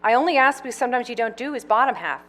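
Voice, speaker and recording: A woman's voice: on the high side, animated, rapid-fire, fairly bright tone, pitched above the performer's own voice. A woman voicing a stern, bossy older sister who scolds in clipped lines. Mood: scolding.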